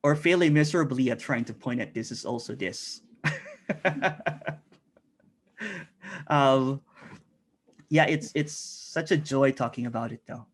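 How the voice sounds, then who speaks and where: A middle-aged man from the Philippines, speaking as an interview guest in a halting, reflective way with many restarts. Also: medium pitch. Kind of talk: reflective casual conversation.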